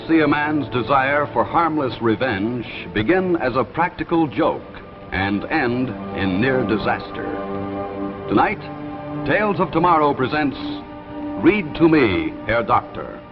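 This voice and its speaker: Slow, dramatic male radio announcer. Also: mid-range voice, sing-song. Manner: portentous, deliberate. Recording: dull old radio, loud music.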